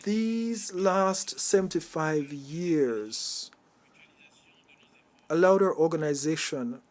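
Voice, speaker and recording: Higher-pitched man interpreting a formal speech. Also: darkish tone. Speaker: simultaneous interpreter.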